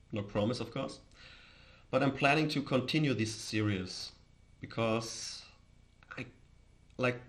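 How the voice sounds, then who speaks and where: A man from Austria with an Austrian accent and German as his first language, chatting casually on a livestream in a hesitant, halting way. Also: lowish voice. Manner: hesitant, halting, chuckles often. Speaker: live streamer, host.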